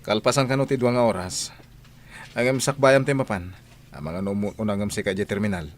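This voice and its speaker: An actor playing a calm, well-off master and dutiful son in a radio drama. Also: male voice, on the low side, normal speed, a little hiss or hum, natural voice. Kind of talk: Ilocano radio drama acting.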